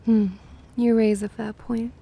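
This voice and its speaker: A woman's voice: on the high side, flat delivery, a little slow, creaky, mellow. A woman playing a playful, condescending vampire mistress who looks young, drawling languidly in an ASMR roleplay. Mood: teasing.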